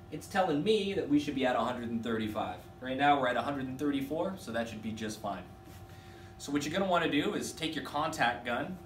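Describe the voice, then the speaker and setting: A man in his thirties casually demonstrating a welding tool in a workshop, chatty and relaxed. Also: mid-range voice, slight background noise. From Canada. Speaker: product demonstrator for a welding company. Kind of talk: casual product demo. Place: workshop with welding equipment, indoors. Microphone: camera mic from a few feet away. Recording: roomy workshop echo from a distance.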